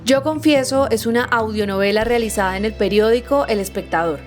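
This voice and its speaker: A woman's voice: fairly high pitch, brisk, soft background music. A woman with a Colombian accent reading scripted credits as an announcer. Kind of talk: scripted narration.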